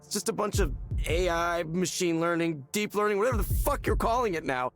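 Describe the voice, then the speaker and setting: An actor playing a desperate, anxious man in a visual novel, with a halting, pleading manner. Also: high-pitched, faint music behind. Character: desperate, anxious male client in counseling. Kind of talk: naturalistic visual novel voice acting.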